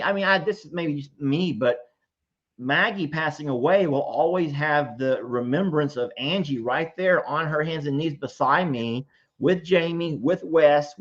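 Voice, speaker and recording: A man hosting a call-in show, chatty and rambling, who laughs easily. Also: on the high side. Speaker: show host taking callers. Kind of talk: live call-in chat. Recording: slightly muffled audio.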